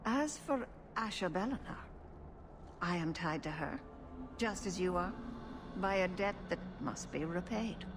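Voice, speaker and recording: An actress playing a wise, solemn elven clan keeper in a fantasy video game, speaking in a measured, formal way. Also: female voice, fairly low pitch, over music. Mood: calm, mystical. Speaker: clan leader and spiritual guide.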